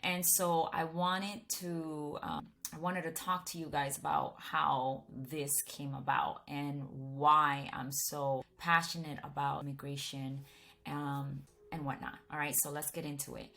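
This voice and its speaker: A woman in her thirties with a low, deep-set voice tells her personal testimony straight to camera. She keeps it easygoing and chatty and often restarts a sentence partway through. She grew up in Miami. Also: little pitch movement, unhurried, gravelly, bright, forceful tone. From Miami, Florida, United States. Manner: casual and conversational, with frequent restarts.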